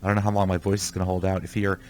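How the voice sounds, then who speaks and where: A man's voice: low-pitched, little pitch movement, rapid-fire, a little mellow, a little hiss or hum. A young man who is sick, rambling casually in live gameplay commentary and talking to himself as he plays. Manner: rambling, chatty, talks to himself while playing. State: sick, voice somewhat off. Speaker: gaming channel host.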